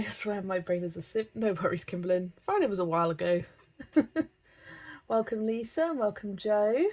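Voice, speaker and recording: A woman with a slightly hoarse voice hosts a live stream, greeting viewers by name and chatting casually while she colours, often laughing. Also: medium pitch, darkish tone. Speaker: livestream host.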